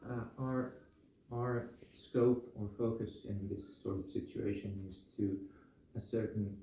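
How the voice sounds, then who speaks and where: A man's voice: lowish voice, fairly even intonation, takes it slowly, mellow. Hesitant man in an echoey room. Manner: hesitant, polite, reassuring. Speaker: interviewer assessing psychiatric need. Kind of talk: official assessment interview. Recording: echoey room, faint noise and clicks.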